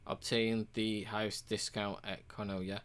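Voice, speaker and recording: A man casually walking through a game's achievements, relaxed and rambling, with frequent ums and uhs. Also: fairly low pitch, a little slow, smooth. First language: English. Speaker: game reviewer.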